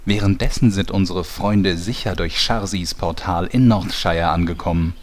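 A man's voice: deep voice, normal speed. A man narrating a scripted parody audio drama as storyteller.

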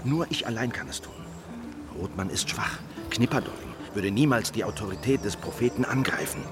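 Male actor as grim revolutionary, radio drama, very low voice, terse, grave, intense, loud music behind. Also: expressive, brisk, close to an everyday voice. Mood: grim, urgent. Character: grim, determined revolutionary telling his own story. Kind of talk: radio drama narration and dialogue.

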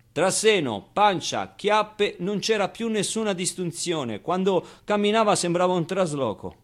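An actor playing a wistful, streetwise man who recalls his orphaned boyhood in an expressive, colloquial theatrical monologue. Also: fairly high pitch, natural voice. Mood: playful, animated. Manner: expressive, clownish, with pauses and repeated phrases. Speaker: stage actor. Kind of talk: theatrical monologue, colloquial first-person storytelling.

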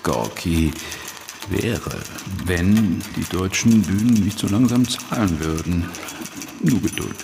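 A man's voice: sits low, gravelly, full character voice. An actor playing a glib, scheming revolutionary father in a radio drama, with a theatrical manner. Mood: evasive. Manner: theatrical, dictating and breaking off. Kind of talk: German radio drama acting. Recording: music bed behind the voice.

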